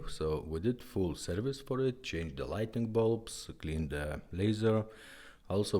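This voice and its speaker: A man who speaks English as a second language, giving a casual, halting product demonstration in simple phrases. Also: sits low, a little mellow. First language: not English. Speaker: presenter demonstrating restored car radios.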